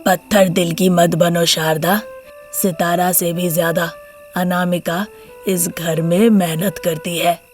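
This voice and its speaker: A gentle older father-in-law from a moral story, voiced by a woman, pitched low. He admonishes in a measured, protective way, with faint music behind him. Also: little pitch movement. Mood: reproachful, calm. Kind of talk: Hindi moral story character voice.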